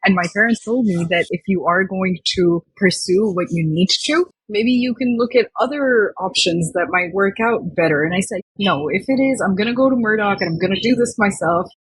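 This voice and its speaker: A woman's voice: mid-range voice, moderate pace, hardly any pauses, husky, dry recording, low-quality recording. A woman in her twenties from Dubai, a company founder telling her story in a chatty, reflective way as a podcast guest. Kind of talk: podcast interview.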